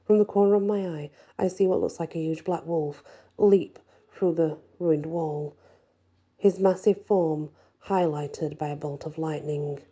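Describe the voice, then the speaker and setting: A woman narrating a first-person fantasy story in a soft, husky, breathy ASMR style, with expressive delivery. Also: sits low, measured pace. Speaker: storyteller reading a fantasy audiobook.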